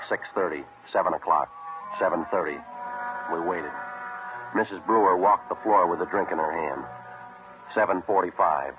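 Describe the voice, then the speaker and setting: Stoic American detective sergeant narrating his cases: low, businesslike, terse, dull vintage radio sound. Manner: deadpan, terse, matter-of-fact. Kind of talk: 1950s radio crime drama, clipped narration. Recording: noisy, dull old radio recording.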